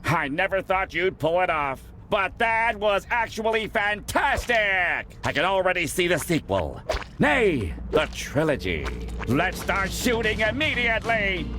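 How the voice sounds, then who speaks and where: Cartoon director, high voice, theatrical, bossy, demanding, music behind. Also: male voice, sing-song, unhurried, bright, forceful tone, heavily acted. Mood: excited. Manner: theatrical, commanding. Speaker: game voice actor. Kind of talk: cartoon video game acting.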